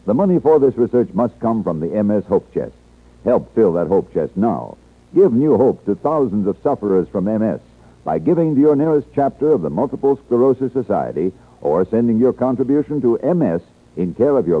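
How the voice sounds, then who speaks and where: A man's voice: lowish voice, slightly rough. An American man reading a scripted announcement in 1950s radio announcer style. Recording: tinny, muffled old radio broadcast.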